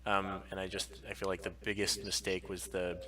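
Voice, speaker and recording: Bright, forceful low-voiced man, casual chat. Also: completely flat delivery, moderate pace, distant mic. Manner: emphatic, frequent swearing. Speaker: podcast co-host.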